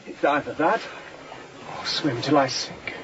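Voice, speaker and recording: An actor playing an exhausted, intense, unrepentant fugitive chief mate in a radio drama, speaking in clipped, grim sentences. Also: male voice, a little high, unhurried, a bit bright, dull audio, slight background noise. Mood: exhausted, gasping. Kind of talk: radio drama acting, confessional storytelling.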